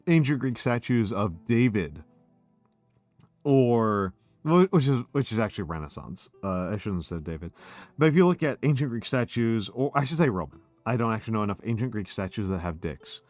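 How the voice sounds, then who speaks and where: A man's voice: medium pitch, lively intonation, normal speed, a little mellow, soft background music. A drunk man streaming a casual chat, rambling with stumbling restarts.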